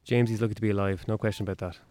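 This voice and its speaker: A man's voice: lowish voice, steady tone, a little mellow, smooth, lightly acted. An actor playing a matter-of-fact male hospital doctor in a radio drama, clinical in manner. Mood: clinical, serious. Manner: clinical, measured in tone. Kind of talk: naturalistic radio drama acting.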